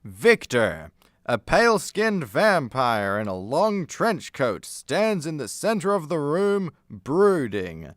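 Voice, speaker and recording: A man's voice: on the high side, big pitch swings, slow, a bit bright, dry recording, clean studio sound. A man narrating a scripted comedy radio play, setting each scene as storyteller.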